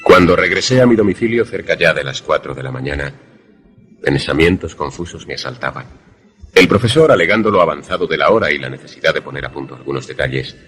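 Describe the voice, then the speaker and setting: A man's voice: sits low, animated, fast talker, fairly bright tone, slightly rough, soft background music, full character voice. A man playing a brooding, lovelorn former student who narrates a suspense radio drama in a grave, literary manner. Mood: troubled, lovelorn.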